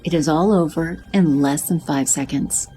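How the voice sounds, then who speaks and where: Low-voiced female audio drama narrator over music. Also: moderate pace, poor audio. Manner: steady, descriptive. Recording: music bed under narration.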